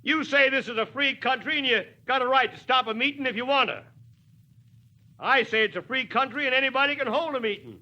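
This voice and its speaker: A stubborn small-town American mayor, a man with a high-pitched, very sharp and forceful voice. He speaks plainly and wryly, like a folksy orator in an old 1940s radio play. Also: animated, fairly quick, dull audio, exaggerated voice. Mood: earnest, defiant. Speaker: radio drama actor.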